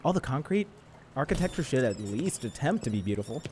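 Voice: medium pitch, sing-song, fairly quick, darkish tone, a little hiss or hum, close to an everyday voice. An actor playing a fussy, hospitable house tour guide, speaking primly and precisely with a storyteller's flourish in an audio drama. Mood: fussy, defensive.

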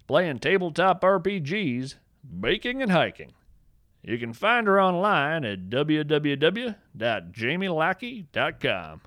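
A man's voice: mid-range voice, fairly bright tone, no room sound. A man reading a scripted, whimsical nature story in a wry, playful storytelling manner, on a close studio mic. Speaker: podcast narrator.

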